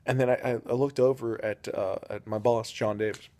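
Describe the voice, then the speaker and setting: Young guy, deadpan comic storyteller, rambles with lots of fillers. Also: medium pitch, a little fast. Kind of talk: improvised comedic storytelling.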